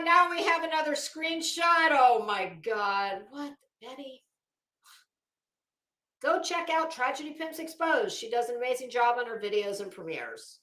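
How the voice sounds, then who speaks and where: A high-pitched woman hosts a casual livestream, reading aloud and commenting in a sarcastic, theatrical way. She takes her time and swears freely. The room rings with echo around her voice. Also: pushed and bright. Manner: sarcastic, mocking, profane. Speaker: livestream host. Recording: echoey untreated room.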